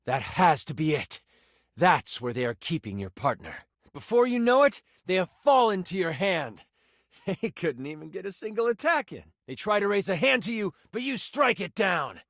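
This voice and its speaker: A man's voice: high voice, fairly bright tone, dry recording, studio quality, exaggerated voice. An actor playing a male game master who narrates a fantasy adventure in a theatrical, suspenseful storytelling style for a video game. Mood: excited, triumphant.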